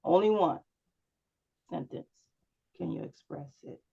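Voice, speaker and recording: A woman's voice: low voice, measured pace, creaky, dark tone, husky, highs cut off. A woman public speaking instructor giving one-on-one feedback to a student over a video call, often checking in with "right?". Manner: coaching, checks understanding with frequent "right?".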